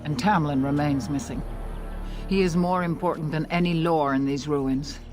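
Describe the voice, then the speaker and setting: A woman playing a grave, commanding older elf healer who leads her clan in a fantasy game, speaking formally and with measured solemnity. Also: low-pitched, steady tone, lightly acted. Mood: worried, urgent. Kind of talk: fantasy game dialogue acting. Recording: music behind the dialogue. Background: background music.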